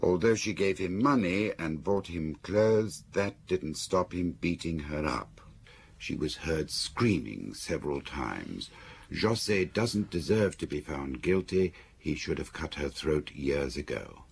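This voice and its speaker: An actor playing a detective's dry, probing confidant in a radio drama. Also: male voice, very deep voice, expressive, a little slow, vocal fry, husky, full character voice. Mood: dry reading. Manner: questioning, dry.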